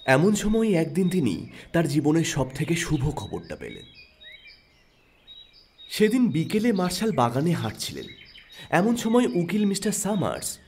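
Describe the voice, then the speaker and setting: A man narrating an audio story in an expressive, dramatic storytelling style. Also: fairly high pitch, unhurried, gravelly, pauses often, a little hiss or hum. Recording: narration over background music.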